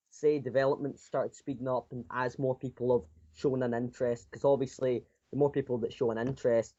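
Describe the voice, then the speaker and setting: A man's voice: mid-range voice, a little mellow, dull audio. A sixteen-year-old male game developer giving casual, unscripted interview answers, rambling and often repeating his phrases. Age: 16, teenager. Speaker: self-taught indie game developer being interviewed.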